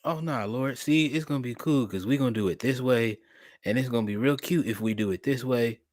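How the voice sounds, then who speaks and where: A young man, talking to his livestream chat in an animated, preacherly way. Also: medium pitch, a little fast, dry recording, studio quality. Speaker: streamer and podcast host.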